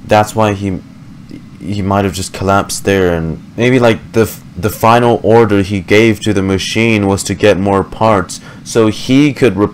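A young man giving casual, halting, thoughtful reaction commentary as a game streamer, close on a desk microphone. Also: lowish voice, fairly even intonation, slight background noise. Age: young adult. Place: small indoor room. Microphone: desk microphone on boom arm, close.

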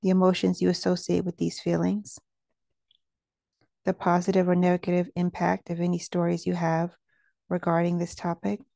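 Low, mellow woman guiding meditation. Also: completely flat delivery, vocal fry, no echo, studio quality. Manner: slow, soothing, measured instruction. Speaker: guided meditation host. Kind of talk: guided meditation narration.

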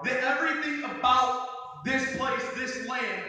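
Young american guy preaching, emphatic, really high bright voice, recorded from far back in a big church. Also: a little slow. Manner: emphatic, repeats phrases for effect. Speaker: pastor, guest preacher. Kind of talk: Pentecostal sermon. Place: church sanctuary stage, indoors. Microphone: handheld mic through PA, recorded by far camera. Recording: distant camera in echoey reverberant church hall.

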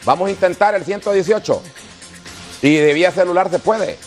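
A man's voice: sits high, a little fast, faint music behind. A man in his fifties with a Honduran accent, anchoring a live news show in colloquial, exasperated style. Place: TV news studio. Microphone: lapel mic.

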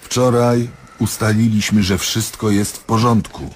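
An actor playing a coolly controlling, diabolical doctor in a Polish radio play, with a raspy voice and curt, deliberate phrasing. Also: male voice, lowish voice, steady tone, slight background noise, lightly acted. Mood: curt, commanding. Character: domineering, diabolical male doctor, coolly controlling his patient. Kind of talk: radio drama acting.

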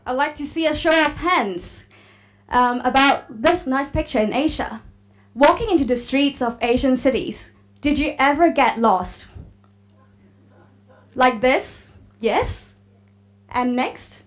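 A young woman gives an upbeat pitch presentation on a conference stage, putting questions to the audience through a handheld microphone. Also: expressive, slow, long pauses, rough recording. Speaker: startup presenter. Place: large conference hall on stage.

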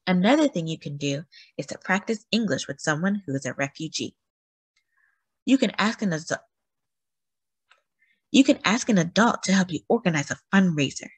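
Woman, classroom read-aloud host; slightly breathy, dry close recording, careful storybook delivery. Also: on the low side, no echo. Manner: slow, careful storybook reading with lively rises on key words. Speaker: children's book reader.

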